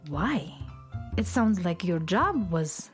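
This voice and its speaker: A woman's voice: lowish voice, very animated, slow, faint music behind. An actress playing a disdainful, pretentious, languid female moon cat working as a clerk in a children's radio comedy. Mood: bored disdain.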